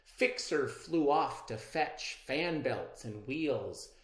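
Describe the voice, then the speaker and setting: A man reading a children's storybook aloud as an expressive narrator, theatrical and deliberate. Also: medium pitch, reverberant. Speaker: storybook reader.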